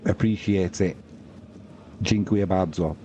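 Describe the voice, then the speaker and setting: A man with a lowish, mellow voice commentates live on rugby league for a stream. He calls the play as it happens and chats to viewers in an informal, matey way. There is some noise behind his voice. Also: fairly even intonation, a little slow, lo-fi sound. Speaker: sports livestream commentator and host.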